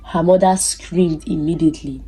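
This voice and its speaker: A woman narrating a scripted folktale as a storyteller, in a measured, deliberate and dramatic way. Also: low voice, steady tone, warm tone, husky, soft background music.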